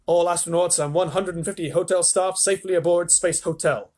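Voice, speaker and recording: A man's voice: high-pitched, steady tone, brisk, darkish tone, pitched above the performer's own voice. A man voicing an earnest male astronaut in a children's story, reporting in a plain-spoken, folksy way. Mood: dutiful.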